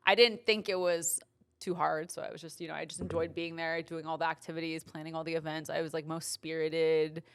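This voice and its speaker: A young woman from in casual podcast chat, talking easily with plenty of "like" and quick laughs. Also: deep voice, flat delivery, smooth. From Glendale, California. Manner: chatty, laughs easily, lots of "like". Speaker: podcast guest.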